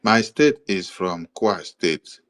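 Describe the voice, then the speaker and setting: A man with a Nigerian accent giving an explanatory monologue, listing items in a steady, repetitive rhythm with occasional hesitations. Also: low-pitched, slow. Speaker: commentator presenting a map.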